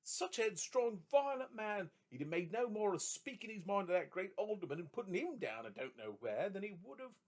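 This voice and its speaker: A man voicing a gentle, affectionate young woman, speaking softly and tenderly. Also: sits high, very animated, fairly quick, hardly any pauses, pitched above the performer's own voice, full character voice.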